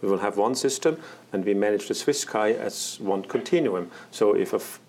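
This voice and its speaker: A man in an interview, explaining air traffic plans as an executive, halting often with fillers and restarts. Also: fairly low pitch, a little mellow. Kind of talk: interview answers.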